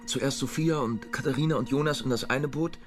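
A man's voice: mid-range voice, close to an everyday voice. An actor plays a frightened young man on the run in a radio drama. He stammers and restarts his sentences, and his voice sits in a middle range. Faint music runs behind him. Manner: halting and stammering, with repeated words. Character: frightened young male fugitive, hesitant and haunted. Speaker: suspect turning himself in. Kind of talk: German radio drama acting.

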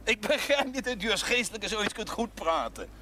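An exasperated country doctor in a seventies TV drama. His voice is high and sharp, formal and emphatic, with faint background noise. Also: expressive, normal speed. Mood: indignant. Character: indignant, principled village doctor, formal and exasperated. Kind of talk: 1970s television drama acting. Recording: faint noise on old TV audio.

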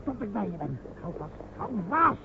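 An actor in a radio play playing a rough, loyal comrade, gruff and urgent. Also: male voice, high-pitched, very animated, moderate pace, heavily acted. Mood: urgent, alarmed. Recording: tinny, noisy old radio play recording.

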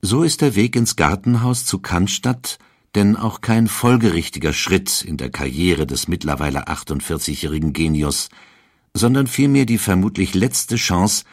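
A man narrating a scripted historical documentary in a measured storytelling manner. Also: deep voice, slightly rough, no room sound, clean studio sound.

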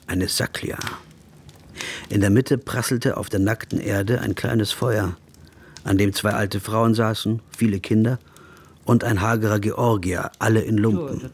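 A man's voice: on the low side, lightly acted. An actor playing an observant, reflective traveller who recounts his journey as the narrator of a literary radio drama. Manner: descriptive, measured storytelling. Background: music.

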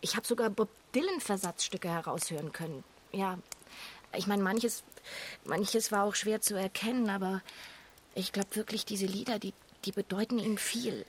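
An actress playing a compassionate, earnest woman in a naturalistic radio drama, speaking haltingly and repeating words with emotional involvement. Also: mid-range voice, a little hiss or hum, close to an everyday voice. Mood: moved. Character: compassionate, earnest woman pleading for a sheltered stranger.

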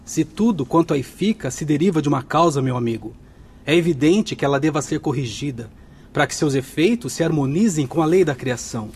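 A man's voice: fairly high pitch, lively intonation, a little fast, a little mellow, a little hiss or hum, close to an everyday voice. A man playing a courteous, assured spirit technician in a radio drama, speaking formally. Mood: firm, instructive.

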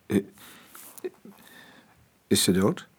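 An actor playing a troubled, articulate middle-aged politician in a radio drama, speaking haltingly. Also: male voice. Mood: shocked, desperate. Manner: halting, repeats himself when pressed.

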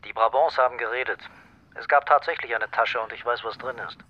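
An actor playing an impatient, commanding police commissioner on a phone in a radio crime drama. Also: male voice, mid-range voice, fairly even intonation, a little fast, close to an everyday voice. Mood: urgent, insistent. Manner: commanding, clipped. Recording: dull phone sound with background noise.

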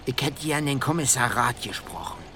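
A shifty informant played by a man with a mid-range, animated voice. He is making a call in a radio drama, with faint ambient noise. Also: mid-range voice, moderate pace, natural voice. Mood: furtive, eager. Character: shifty male police informant on the telephone. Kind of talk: radio play acting.

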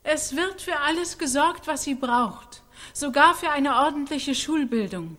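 An assured woman in a radio play chorus. She declares bright prospects in a fairly high voice, line by line. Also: expressive, unhurried, full character voice.

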